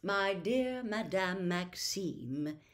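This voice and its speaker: Woman reading as a measured, courteous old wizard. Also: mid-range voice, lively intonation. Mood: courteous, composed. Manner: formal, gracious, measured. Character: courteous, composed elderly male wizard headmaster.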